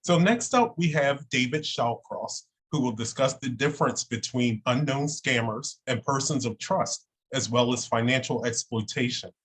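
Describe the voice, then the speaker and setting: A man's voice: mid-range voice, normal speed, few pauses, a bit bright, breathy edge. A man hosting a town hall, thanking one speaker and reading the next speaker's introduction courteously. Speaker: host and moderator.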